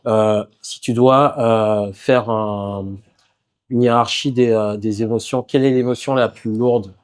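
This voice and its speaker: A man hosting a podcast interview, asking questions in a casual, relaxed way with many fillers. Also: mid-range voice, measured pace, clear, resonant tone. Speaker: podcast host.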